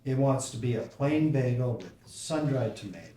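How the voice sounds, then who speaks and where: Soft reassuring father, read by a man, off-mic, echoey room. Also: medium pitch, animated, moderate pace, distant mic, slight background noise, natural voice. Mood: gentle. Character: gentle, loving father returned. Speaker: actor in a table read. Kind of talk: screenplay table read.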